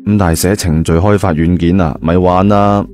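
A low-voiced man narrates an audio novel in colloquial Hong Kong Cantonese. His tone is dark and mellow, with faint music behind him. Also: steady tone, normal speed. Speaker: storyteller.

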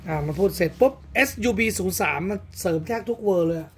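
Fast teasing guy at a card shop table, crude jokes, camera over the table. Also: sits high, fairly bright tone, a little hiss or hum. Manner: crude, teasing, rapid chatter. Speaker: shop owner and channel host. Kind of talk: casual banter over a card game.

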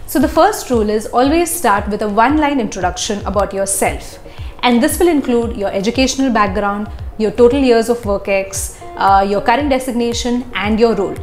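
A woman's voice: fairly high pitch, brisk, faint music behind. Young woman coaching to camera, roomy office. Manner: clear, instructive, steady. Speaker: visa interview coach. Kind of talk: advice video to camera. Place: office with glass walls.

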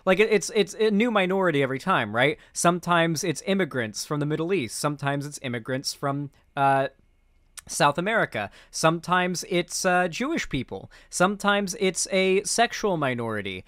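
A man's voice: a little high, lively intonation, normal speed, a bit bright, no echo. A young man giving casual, opinionated reaction commentary as a streamer, speaking into a close mic indoors. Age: young adult.

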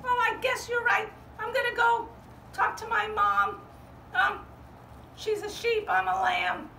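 Lady doing a shy sweet lil lamb, really high, gentle, kids story, echoey room. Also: a little slow, vocal fry, heavily acted. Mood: agreeable. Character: timid, polite young lamb, a peacemaker. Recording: roomy, distant mic with faint noise.

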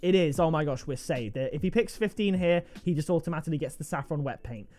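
Excitable young man gaming. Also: fast talker, faint music behind. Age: 20s. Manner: excitable, jumps to high-pitched disbelief. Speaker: video game trading streamer. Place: gaming room indoors.

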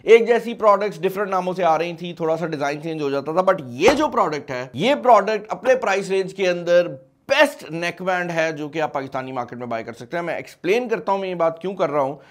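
A man's voice: sits high, expressive, fast. A man in his twenties with a Pakistani accent, giving a casual, chatty and emphatic product review with English phrases mixed in. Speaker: tech reviewer and video host. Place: small indoor studio at a desk.

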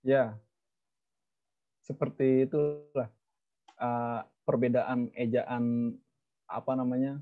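A man's voice: mid-range voice, level tone, slow pace, pauses often. A young man casually moderating a student webinar on a video call, chatty and teasing. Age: young adult. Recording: dull lo-fi call audio.

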